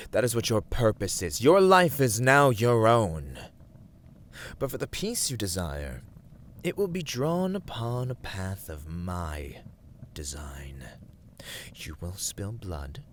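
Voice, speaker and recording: A man playing an imperious, teasing horned warlord villain in an ASMR roleplay, grandiose and commanding, often dropping toward breathy whispers. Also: on the low side, sing-song, slow, pauses often, a little hiss or hum.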